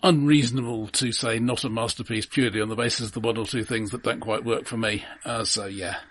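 A man co-hosting a podcast, talking casually about films in a measured, understated manner. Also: mid-range voice, fairly even intonation, fairly bright tone, slightly rough, no echo. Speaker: podcast co-host. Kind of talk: casual film discussion.

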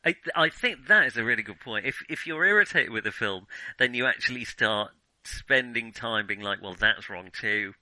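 A man with a very bright, sharp voice chats casually about film as a host. He feels his way through opinions, hesitating and qualifying them. The sound is close and dry. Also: mid-range voice, animated, moderate pace, no echo, low-quality recording.

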